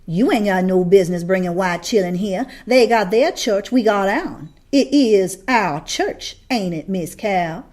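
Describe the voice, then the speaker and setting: A contemptuous, confrontational Southern woman from a storybook, acted by a woman reader. She is loud and hostile, drawling her challenge in dialect. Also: mid-range voice, lively intonation, measured pace, few pauses, close to an everyday voice.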